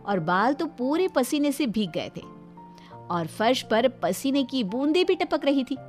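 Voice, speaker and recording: Woman narrating over faint music. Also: on the high side. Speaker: story narrator. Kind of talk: scripted cartoon story narration.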